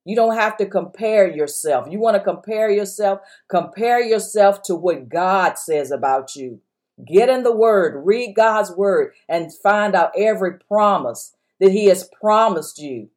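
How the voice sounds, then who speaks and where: A woman preaching with a strong, full voice at an ordinary pitch. She exhorts her listeners and drives each point home by repeating it. Her pace runs slow, then quick. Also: animated, darkish tone. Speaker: pastor. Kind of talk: sermon podcast.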